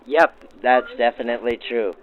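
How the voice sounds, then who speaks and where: A male interviewer with a medium-pitched voice puts questions to guests. The sound is narrowed by a vintage radio filter. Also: normal speed, a crowd in the background. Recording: band-limited old-radio sound.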